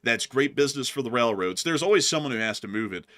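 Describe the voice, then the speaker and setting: A young man co-hosting a casual livestream, chatty and animated, with a lot of "like" and "I mean". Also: mid-range voice, fast talker. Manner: animated, laughs often, acts out quotes loudly; many fillers like "like" and "I mean". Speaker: co-host of a news channel. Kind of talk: casual livestream chat reading donations.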